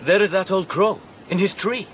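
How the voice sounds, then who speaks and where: A high-pitched, wild young Spanish heir , played by a male actor in a radio play. He is childlike and singsong, dreamy yet cruel and excitable. Faint outdoor insect sound lies behind the voice. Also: normal speed, a little hiss or hum, lightly acted. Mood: sly, dreamy. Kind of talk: radio drama acting.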